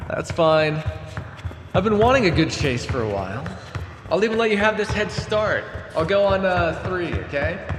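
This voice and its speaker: A man playing a sardonic vampire captor in an ASMR roleplay, speaking conversationally with hesitant pauses. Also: high voice, animated. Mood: teasing, predatory. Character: teasing, menacing male vampire captor, centuries old scientist. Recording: long hall reverb, faint background noise.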